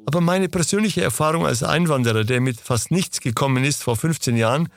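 A man answering interview questions in a steady, lecturing manner. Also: a little high, fairly quick, no echo. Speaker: interview guest.

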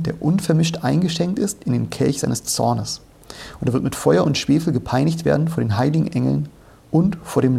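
Man, mid pitch, gravelly, conversational Bible teaching, explanatory, question-and-answer phrasing. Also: warm tone. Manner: explanatory, didactic, asks and answers own questions.

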